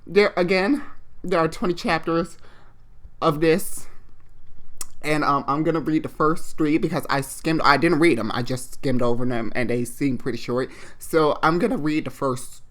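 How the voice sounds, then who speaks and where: A man's voice: a little high, normal speed, fairly bright tone. A man hosting online in a slangy, irreverent, giggly way, reading fan fiction aloud with casual off-the-cuff commentary. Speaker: online video host and fan fiction reader.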